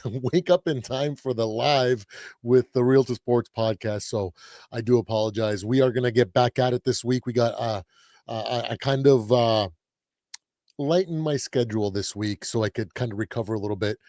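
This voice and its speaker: A man hosting a casual sports talk livestream, his voice a little raspy while he gets over a cold, rambling with lots of restarts and fillers. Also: medium pitch, normal speed, dark tone, no room sound, clean studio sound. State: recovering from a cold. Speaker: host.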